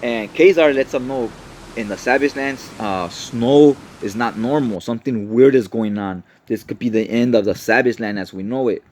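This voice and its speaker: A man's voice: mid-range voice, moderate pace, darkish tone. A man recapping a story in a casual, conversational style, as a host chatting to listeners. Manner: informal, conversational, checks in with "right?". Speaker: host, comic book recapper.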